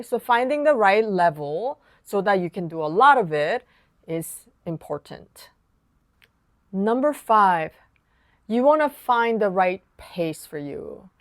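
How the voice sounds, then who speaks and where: A woman whose first language is Korean, a piano teacher explaining practice tips in a calm, casual lesson. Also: mid-range voice, no echo, studio quality. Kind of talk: online lesson talk.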